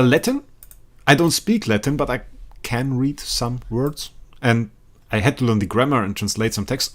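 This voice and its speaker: A young German man chatting casually with viewers while streaming a game, rambling and repeating himself, laughing often and breaking into sudden excited outbursts. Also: medium pitch. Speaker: livestreaming gamer.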